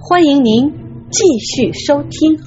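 A woman's voice: sits high, lively intonation, takes it slowly, warm tone. A woman reading a scripted announcement for an audiobook programme. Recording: dull lo-fi audio. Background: plucked guitar music.

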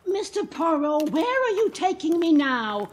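An actress playing a spirited, excitable female companion to a detective, theatrical and exclamatory, in a 1940s radio drama. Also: very high-pitched, measured pace, a little hiss or hum, full character voice. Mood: questioning. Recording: vintage radio broadcast, music behind.

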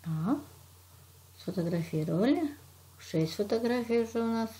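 A woman, a teacher giving step-by-step instructions in deliberate, short, simple phrases. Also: on the high side, animated, dark tone. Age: 60s. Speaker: children's art and animation teacher. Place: indoor room, plain wall.

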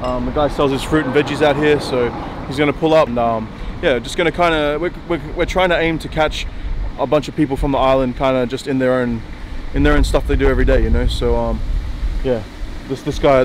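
A man's voice: medium pitch, steady tone, quick pace. A young man chatting casually to camera as a member of a video shoot crew, outdoors. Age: young adult. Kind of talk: casual behind-the-scenes chat. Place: outdoors at roadside. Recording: clear outdoor background noise.

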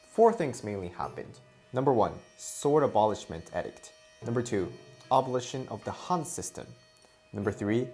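Young Japanese karate teacher explaining. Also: male voice, medium pitch. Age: young adult. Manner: earnest, emphatic, repeats key points. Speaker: karate instructor and channel host. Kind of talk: explanatory video lesson to camera. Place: indoor studio before a plain grey backdrop. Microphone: lapel mic.

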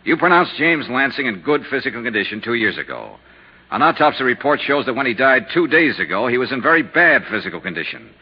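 An actor playing a hard-boiled, persistent insurance investigator in a 1950s radio drama, brisk, dry and insistent. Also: male voice, mid-range voice, big pitch swings, breathy edge. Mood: firm, controlled. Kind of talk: 1950s radio drama acting and first-person narration. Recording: noisy, lo-fi 1950s radio recording.

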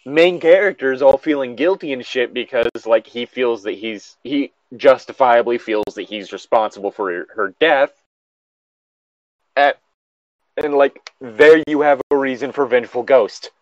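A man's voice: mid-range voice, unhurried, no room sound, muffled recording. A man casually reading a story aloud and commenting on it in an exasperated, sweary way. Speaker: co-host reading and mocking an online horror story.

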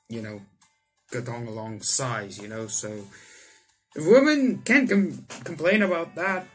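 Young adult male gaming host, mid-pitched and very animated, theatrical in his reading and reactions, over game music. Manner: exclamatory, theatrical asides. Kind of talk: visual novel let's play, reading and commentary. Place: indoor room.

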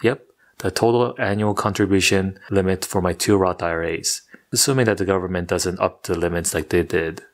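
A young man talking through his investing goals in a scripted video, with a steady, monotone delivery. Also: low voice, brisk. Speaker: personal finance YouTuber. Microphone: studio microphone off camera.

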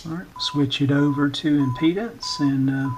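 A man giving an informal hands-on demonstration in short, halting, hesitant phrases, talking at a workbench indoors. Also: fairly high pitch, background noise. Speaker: electronics repair channel host, demonstrator.

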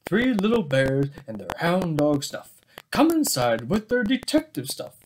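A man's voice: a little high, very animated, measured pace. Young man reading a storybook, widely varied intonation. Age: young adult. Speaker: storybook reader. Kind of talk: children's story read-aloud. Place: small indoor room with bookshelves. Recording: clearly noisy home webcam recording.

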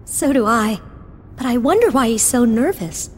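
Woman acting a young game princess, high voice, caring, hopeful, soft-spoken, reverberant hall. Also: animated, moderate pace, a little hiss or hum, close to an everyday voice. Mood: wistful concern. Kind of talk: video game cutscene acting.

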